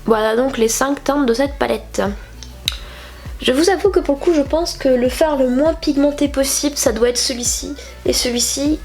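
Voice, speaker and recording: A woman's voice: high-pitched, moderate pace, a little mellow, faint music behind. A young woman with a cold and a nasal voice, chatting casually through a makeup tutorial with lots of fillers. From France. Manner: chatty, rambling with many fillers. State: stuffy nose from a cold. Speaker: beauty vlogger.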